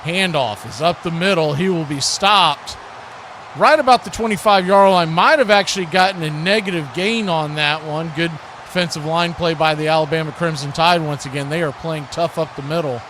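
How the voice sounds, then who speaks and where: Higher-voiced man calling football, noisy background. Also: moderate pace. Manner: folksy, excitable on big plays. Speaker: radio play-by-play announcer. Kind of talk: live football play-by-play commentary with asides to viewers. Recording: clear background noise.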